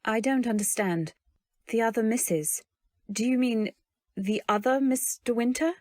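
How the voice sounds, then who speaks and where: An actress playing an anxious young wife, telling her story in measured, literary old-time radio drama style. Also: a little high, darkish tone, no echo, clean studio sound, close to an everyday voice. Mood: puzzled, probing.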